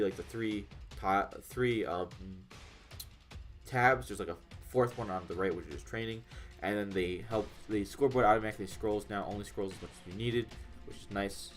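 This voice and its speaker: A man's voice: on the low side, fairly even intonation, a little fast. A congested young man with a nasal voice, casually rambling and swearing as he reads game patch notes aloud. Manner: rambling, profane, frequent fillers and restarts. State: congested, under the weather. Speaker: gaming YouTuber. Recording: faint background music.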